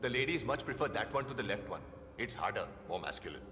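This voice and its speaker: An actor playing a vain, pompous, condescending celebrity with an Indian accent in a video game cutscene. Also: male voice, lowish voice, very animated, quick pace, noisy background. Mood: vain, self-admiring. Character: vain, pompous, self-admiring Bollywood-style celebrity man. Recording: long reverberant hall, music behind.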